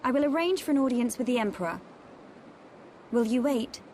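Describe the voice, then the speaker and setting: A young noblewoman from a fantasy game, played by an actress. Her voice sits fairly high, poised and reserved, with formal dutiful phrasing and faint ambient noise behind her. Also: expressive, fairly quick, darkish tone, close to an everyday voice. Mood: formal, resolute. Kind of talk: video game cutscene acting.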